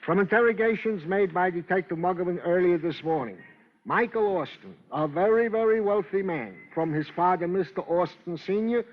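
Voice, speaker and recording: Actor as wry police sergeant, high voice, wordy stiff report talk, old radio crime show, dull muffled recording. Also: male voice, normal speed, natural voice. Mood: earnest, wry. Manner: formal clipped report phrasing, rambling, stilted. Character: wry, long-winded, fussy police sergeant reporting to his boss. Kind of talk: old-time radio crime drama acting.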